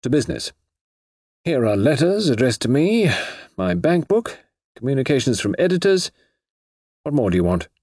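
A man voicing a nonchalant, self-assured gentleman writer in an audiobook, urbane, chatty and a little wheedling. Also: medium pitch, big pitch swings, moderate pace, husky, dry recording, studio quality, voice pushed up. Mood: brisk. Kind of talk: audiobook character voice.

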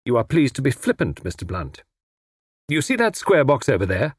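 Storybook villain, male reader in role: educated foreign spymaster, smug, courteous, menacing, husky with swooping pitch. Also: huge pitch swings, moderate pace, dry recording, studio quality. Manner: mockingly polite, formal, snarling when crossed. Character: suave, menacing well-educated foreign spy posing as inspector.

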